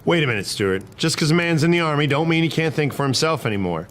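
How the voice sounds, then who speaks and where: A man's voice: a little high, expressive, fast, fairly bright tone. A man playing a principled, stubborn cavalry private in a historical drama, arguing in folksy frontier speech. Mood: indignant.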